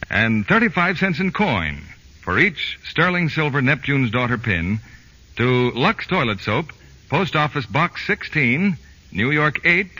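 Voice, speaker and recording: Bright, husky American radio announcer. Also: male voice, medium pitch. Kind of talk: 1940s radio advertising read. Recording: dull old 1940s radio broadcast.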